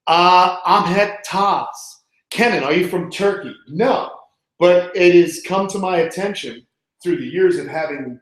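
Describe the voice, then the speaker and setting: A strongly reverberant indoor room surrounds an American man with a mid-range voice. He hosts an animal live stream, talking in a loose, informal, chatty style as he answers questions. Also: mid-range voice. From Long Island, New York, United States. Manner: informal, chatty, calls people man and bud. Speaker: animal channel host.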